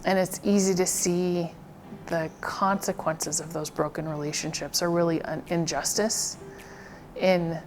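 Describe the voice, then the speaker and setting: An American woman speaking as a missionary, thoughtful and halting, often repeating words as she reflects. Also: on the low side, steady tone, darkish tone, a little hiss or hum. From United States. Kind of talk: reflective interview.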